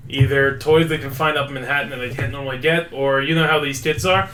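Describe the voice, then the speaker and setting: A man's voice: medium pitch, steady tone, fast, a bit bright. A man playing a tabletop game, chatting casually and thinking aloud as he improvises his character's choices. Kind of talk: casual improvised roleplay chat. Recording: echoey room, background noise.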